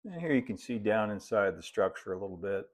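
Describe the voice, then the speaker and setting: A man, narrating a hands-on hobby tutorial in a relaxed, folksy way, as if thinking aloud while he works. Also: fairly low pitch, fairly quick. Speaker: hobbyist presenter of model railroad build videos.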